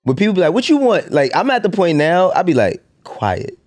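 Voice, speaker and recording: A man's voice: high-pitched, wildly swooping pitch, fairly quick, darkish tone. A man in his thirties in casual chat, loose and swearing, often breaking into laughs. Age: 30s.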